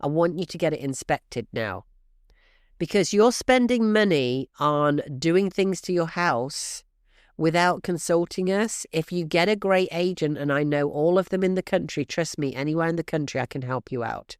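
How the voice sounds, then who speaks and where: A middle-aged woman hosting a real estate podcast, talking straight to listeners in a brisk, emphatic coaching manner, her voice stuffy and snuffly from allergies. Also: deep voice, smooth, no room sound, clean studio sound. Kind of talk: direct-to-listener podcast advice.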